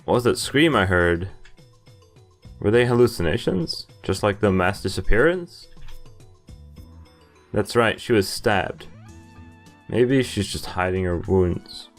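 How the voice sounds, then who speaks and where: A young man reading game text aloud and commenting as he plays, with amused, halting asides. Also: fairly low pitch, expressive. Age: young adult. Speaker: video game player and commentator. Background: game music.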